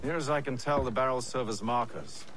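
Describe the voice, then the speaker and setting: An actor playing a composed, shrewd English gentleman adventurer in a video game, speaking in a measured, articulate and formal way. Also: medium pitch, a little hiss or hum, lightly acted. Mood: guarded, composed. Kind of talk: cinematic video game acting.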